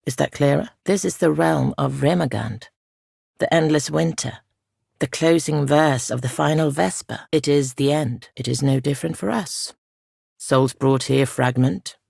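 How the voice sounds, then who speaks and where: A woman voicing an ancient, weary spirit guardian in a video game, speaking softly in solemn, measured, formal archaic phrases. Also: extremely low pitch, no room sound, studio quality, exaggerated voice. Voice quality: soft, breathy, creaky. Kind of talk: video game character dialogue.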